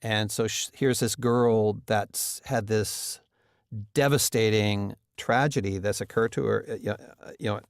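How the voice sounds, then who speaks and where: A man giving a reflective interview about drama characters, halting as he searches for words. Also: lowish voice, vocal fry, no room sound, studio quality.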